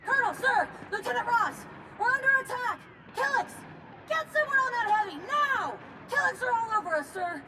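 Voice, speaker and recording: Shrill, frantic army lieutenant shouting. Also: female voice, expressive, normal speed, full character voice. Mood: panicked. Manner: shouted, frantic military report. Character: frantic female army lieutenant calling for backup under attack. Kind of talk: video game voice acting. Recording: very noisy, echoing, distant battlefield transmission.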